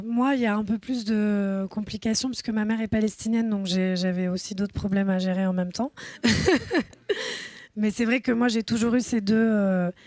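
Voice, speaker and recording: A woman's voice: medium pitch, a little mellow, no echo. A woman in her thirties, a film director from France, speaking thoughtfully at a festival panel with frequent hesitations. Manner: thoughtful, hesitant with many fillers. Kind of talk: panel discussion.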